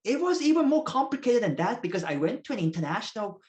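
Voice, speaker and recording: A man in his thirties, a native Korean speaker raised in Russia, explaining as a guest professor in measured, lecture-like answers. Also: high voice, sing-song. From South Korea, raised in Russia. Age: 30s.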